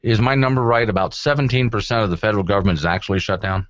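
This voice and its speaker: A man's voice: lowish voice, very fast, no echo. An American man hosting a conversational radio call-in show, informal and wry as he puts questions. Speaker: radio talk show host. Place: radio studio. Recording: slightly dull radio broadcast air-check.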